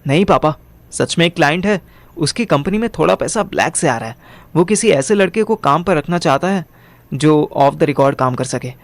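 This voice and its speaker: A man playing a wry, quick-witted young man with an Indian accent, narrating and acting in a first-person audio drama. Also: fairly high pitch, no echo. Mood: earnest pleading. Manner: animated, colloquial, mixes in English.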